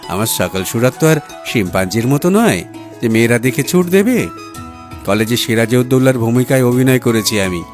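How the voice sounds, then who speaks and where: A man's voice: medium pitch, expressive, brisk, darkish tone, natural voice. A man voicing a playful, self-assured young poet-journalist in an audio story, bantering and boastful. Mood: playful boasting. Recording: music playing behind the voice.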